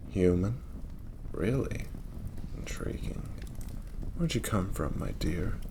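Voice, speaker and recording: Casting: male actor for an imperious, seductive demon prince, slow and theatrical, audio drama with fire ambience and noticeable background noise. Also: low voice, gravelly. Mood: intrigued. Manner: silky, teasing, theatrical, unhurried. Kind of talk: intimate flirtatious roleplay audio drama. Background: crackling fire ambience.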